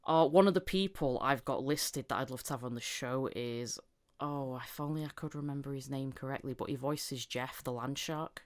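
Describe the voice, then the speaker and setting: Woman, podcast host, low-to-middle smooth clear voice, enthusiastic, rambling, casual chat. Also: moderate pace.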